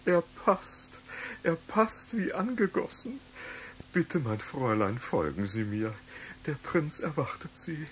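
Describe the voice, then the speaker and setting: An actor playing a harried, theatrically fretful royal duke in a children's audio play. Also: male voice, a little high, very animated, slow pace, slight background noise, low-quality recording. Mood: flustered, relieved.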